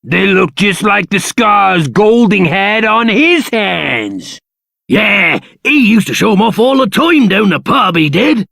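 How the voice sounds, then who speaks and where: A man voicing an excitable, grumbling working-class pub regular in a video game, blunt and colloquial, with a British accent. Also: sits high, slow, vocal fry, no echo, clean studio sound, full character voice. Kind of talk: video game character acting.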